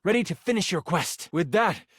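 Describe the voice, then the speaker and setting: An actor playing a theatrical, excitable game master who narrates a fantasy quest in a video game. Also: male voice, high voice, moderate pace, breathy edge, no room sound, studio quality, full character voice. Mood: dramatic narration. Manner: theatrical storytelling.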